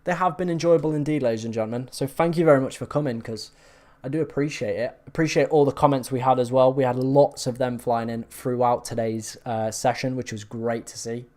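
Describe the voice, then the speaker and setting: Slightly hoarse man chatting live. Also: medium pitch, steady tone, brisk, a little mellow. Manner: chatty, reacting to viewer comments. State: slightly hoarse from long talking. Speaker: live stream host. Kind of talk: casual live stream chat.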